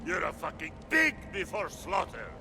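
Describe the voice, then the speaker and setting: An actor playing a brutal, sadistic, foul-mouthed cult bully in a video game, menacing and domineering. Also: male voice, high-pitched, wildly swooping pitch, normal speed, creaky, background noise, heavily acted. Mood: furious. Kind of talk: dramatic video game acting.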